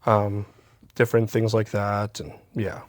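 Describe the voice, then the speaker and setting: A man from Chile, a community safety consultant, talking openly and in a measured way in an interview about recovery and life without shelter. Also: fairly low pitch, little pitch movement, no echo. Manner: open, measured, speaks frankly about recovery. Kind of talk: candid interview conversation. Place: theatre stage, live show.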